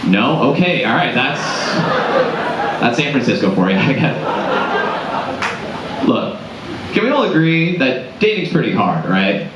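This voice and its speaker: A man from Boston with a deep voice doing a conversational stand-up comedy set, tossing quick asides to a live crowd. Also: very animated, very noisy. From Boston area, United States. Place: comedy club stage before a live audience. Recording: roomy club sound, dull and lo-fi.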